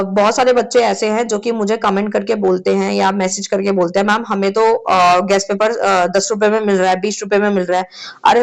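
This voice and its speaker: A woman teaching an exam-prep lecture in a chatty way, often checking in with her students, her voice a little sore. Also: mid-range voice. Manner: chatty, repeats "theek hai" often. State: sore throat.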